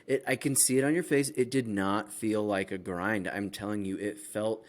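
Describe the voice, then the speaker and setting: A man's voice: fairly low pitch, steady tone, moderate pace. A man co-hosting a casual gaming podcast, speaking earnestly and repeating phrases for emphasis. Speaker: podcast co-host.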